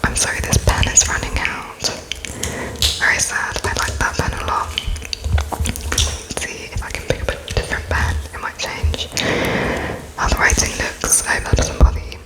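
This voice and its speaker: A woman whispering an ASMR roleplay as an interviewer, in a breathy, halting and politely apologetic way, as if pausing to take notes. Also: rough recording. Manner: slow, halting, spaced-out phrases as if writing notes, polite and apologetic.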